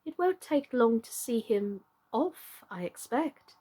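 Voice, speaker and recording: A woman voicing a polite, eager, excitable young girl in a storybook, with a wide-eyed, expressive delivery. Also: on the high side, takes it slowly, slightly rough, full character voice. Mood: musing, amused.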